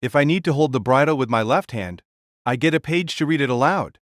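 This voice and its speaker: A man's voice: mid-range voice, animated, fairly quick, no echo, studio quality, natural voice. A man playing a fearless, hearty ancient warrior-king in an audio drama, speaking bluntly and grandly. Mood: matter-of-fact boasting.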